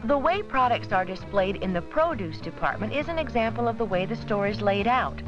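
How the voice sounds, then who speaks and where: A woman giving measured, scripted narration for an educational film about supermarket shopping. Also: mid-range voice, expressive. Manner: measured, instructive. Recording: dull 1970s 16mm film soundtrack with music.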